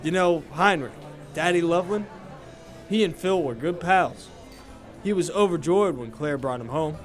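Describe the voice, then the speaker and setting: Chatty radio-play barkeep voiced by a man, fairly high voice, friendly, folksy, hesitant, music behind. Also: moderate pace, lightly acted. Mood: confiding. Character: friendly, gossipy male nightclub bartender in noir mystery. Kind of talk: noir radio drama dialogue.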